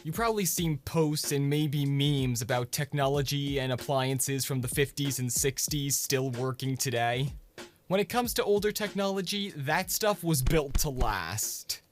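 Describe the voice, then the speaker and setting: A man's voice: fairly high pitch, animated, a little slow, faint music behind. A man hosting a scripted explainer vlog in a conversational, punchy way with casual asides. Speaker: video host.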